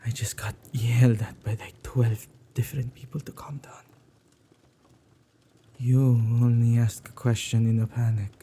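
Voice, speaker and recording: A man playing a playfully menacing, possessive male witch in an ASMR roleplay, with a dark, gravelly, husky voice that often drops to whispers and a teasing, drawn-out delivery. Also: mid-range voice, little pitch movement. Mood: sheepish, apologetic. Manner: teasing, unhurried, with drawn-out words and hesitant repeats.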